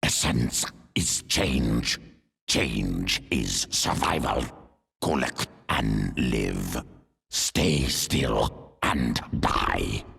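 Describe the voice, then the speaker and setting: An ancient monstrous beast for a video game, impossibly deep and rattling with growl. It delivers terse maxims very slowly. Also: male voice, expressive, gravelly, a bit bright, slightly rough, soft background music, full character voice. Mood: grave, wise. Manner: terse, aphoristic pronouncements. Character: primal alien beast, ancient, terse survivalist. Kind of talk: video game cinematic acting.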